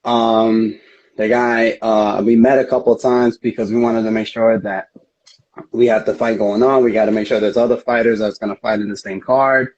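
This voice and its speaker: A man's voice: on the low side, flat delivery, moderate pace, a little mellow. A man speaking casually as a fighter being interviewed, telling things in an earnest, rambling way. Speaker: MMA fighter, interview guest. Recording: dull lo-fi audio.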